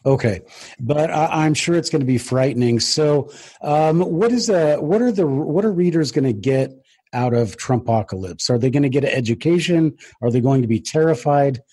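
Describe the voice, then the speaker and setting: A man with a husky voice, casually hosting an interview as the presenter of a book show. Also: mid-range voice, expressive, normal speed, warm tone.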